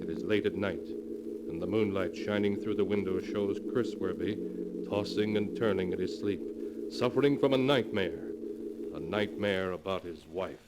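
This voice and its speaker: A man narrating a 1940s radio horror show with solemn, theatrical, drawn-out delivery, as a teller of dark tales. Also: deep voice, close to an everyday voice. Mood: grave storytelling. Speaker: announcer narrating a horror anthology. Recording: noisy 1940s radio, long cavernous reverb.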